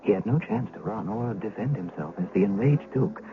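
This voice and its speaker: Low-voiced fearful shepherd, hissy radio. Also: male voice, expressive, fairly quick. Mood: fearful, agitated. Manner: dramatic, suspenseful storytelling. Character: fearful humble shepherd recounting what he witnessed. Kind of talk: first-person old-time radio drama narration. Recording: hissy, muffled old radio broadcast.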